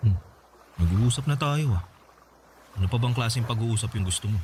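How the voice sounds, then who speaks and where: An actor with a Filipino accent playing a resentful young boyfriend in a radio drama quarrel, sarcastic and accusing. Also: male voice, medium pitch, lively intonation, brisk, dark tone, a little hiss or hum, lightly acted. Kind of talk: Tagalog radio drama acting.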